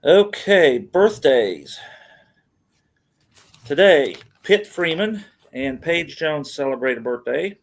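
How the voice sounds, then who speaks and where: A man's voice: fairly high pitch, very animated, slow. Middle-aged man hosting a casual wrestling podcast. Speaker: podcast host. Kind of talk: casual wrestling news rundown.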